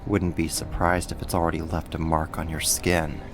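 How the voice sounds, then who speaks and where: A man's voice: very deep voice, level tone, over music. A man playing a sadistic, mocking villain and kidnapper in an ASMR roleplay, with a controlling, condescending manner. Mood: taunting, controlling. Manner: slow, taunting, controlling, condescending.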